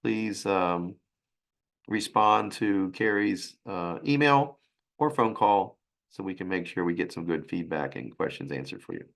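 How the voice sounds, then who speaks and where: A man with a raspy, hoarse voice from a sinus infection, presenting a financial webinar in a halting way. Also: low-pitched, animated, a bit bright. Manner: halting, with restarts and fillers.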